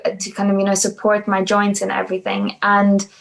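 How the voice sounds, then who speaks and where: A woman's voice: medium pitch, completely flat delivery, fairly quick, vocal fry. A young woman from South Africa, a dancer being interviewed, talking casually with many hesitations and restarts. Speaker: podcast guest, dancer.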